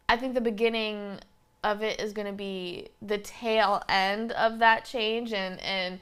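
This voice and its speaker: A woman's voice: medium pitch, fairly bright tone, smooth. A young woman in her twenties with a slight Southern twang, hosting her podcast in a chatty, rambling way with lots of fillers. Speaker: podcast host. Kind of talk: casual solo podcast monologue.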